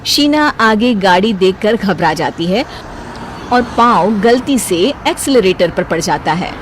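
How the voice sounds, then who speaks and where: A woman narrates a scripted family story. Her delivery is animated and varied, with faint noise behind the voice. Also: mid-range voice, measured pace, poor audio.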